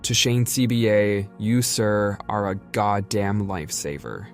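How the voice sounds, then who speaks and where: A man's voice: lowish voice, measured pace. A man reading a written message aloud in a plain, explanatory way. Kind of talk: scripted reading of an email. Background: music.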